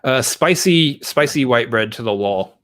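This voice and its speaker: A man's voice: mid-range voice, expressive, a little slow, fairly bright tone. A man hosting a casual live stream, joking around and rambling through plugs. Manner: jokey, rambling, upbeat plugging. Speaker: podcast host.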